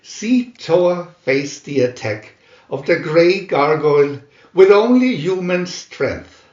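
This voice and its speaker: A man reading a scripted adventure story aloud in a dramatic, deliberate narrator's manner. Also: fairly high pitch, lively intonation, a little mellow, reverberant, lo-fi sound. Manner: dramatic, deliberate comic-adventure reading with announced picture numbers. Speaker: narrator and reader.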